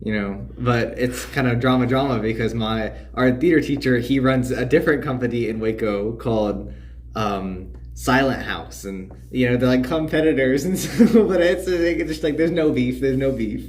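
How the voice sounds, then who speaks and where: A man's voice: medium pitch, moderate pace. A teenage male podcast guest chatting casually and ramblingly, saying "like" often. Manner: rambling, heavy use of "like". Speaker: podcast guest, student actor. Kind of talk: casual chat.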